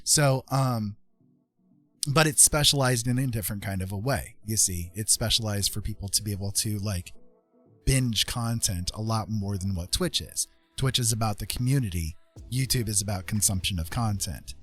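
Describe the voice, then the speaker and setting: A man's voice: lowish voice, normal speed, a bit bright. Emphatic, rambling man with music behind. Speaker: streamer host. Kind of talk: casual livestream chat, explaining.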